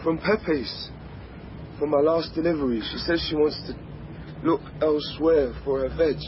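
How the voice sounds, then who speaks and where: Actor as a high-voiced, hapless delivery man. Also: fairly even intonation, unhurried, close to an everyday voice. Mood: heartbroken, plaintive. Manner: hesitant, plaintive. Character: hapless, lovelorn male delivery man, mild and exasperated. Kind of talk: radio comedy drama dialogue. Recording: dull sound with faint noise.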